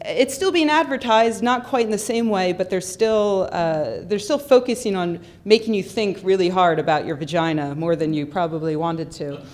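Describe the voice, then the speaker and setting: A woman's voice: on the low side, normal speed, few pauses, darkish tone. A young adult woman giving a humorous, sarcastic talk into a microphone on a lecture hall stage, with plenty of ums and repeated words. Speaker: public speaker. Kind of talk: humorous skeptical conference talk. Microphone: handheld or stand microphone.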